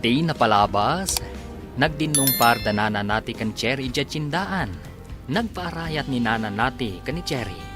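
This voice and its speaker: A man's voice: medium pitch, animated, moderate pace. A man announcing and narrating a radio serial in a scripted, dramatic, declamatory style. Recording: announcer over loud music bed.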